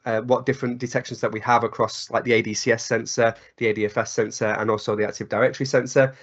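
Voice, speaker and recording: A man with a croaky voice from a cold walks through a technical webinar at a mid pitch. He talks in loose spoken phrasing, full of ums and uhs. The call audio sounds slightly dull. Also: fairly bright tone. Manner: many ums and uhs, restarts. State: hoarse from a cold. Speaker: presenter. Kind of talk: webinar presentation.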